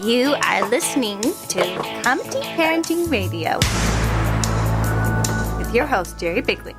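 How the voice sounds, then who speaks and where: Female station announcer, high slow delivery, scripted ident, loud music underneath. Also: sing-song. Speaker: radio announcer reading a station jingle. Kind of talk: station ident read with flubbed retakes.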